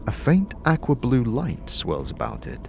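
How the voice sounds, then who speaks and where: A man narrating a fantasy audio drama in the second person, with a slow, grim and deliberate delivery. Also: mid-range voice, wildly swooping pitch, gravelly, a little mellow. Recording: faint music underneath.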